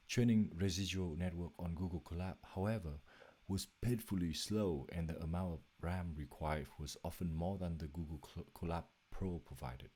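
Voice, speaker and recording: A man's voice: sits low, warm tone, breathy edge. A man reading a scripted narration for a slide presentation as its presenter.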